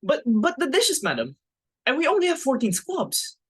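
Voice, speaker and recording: A dismayed household servant, acted by a woman in a stage comedy reading. The voice is husky and swings widely in pitch, protesting with hesitant deference. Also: wildly swooping pitch, moderate pace, exaggerated voice.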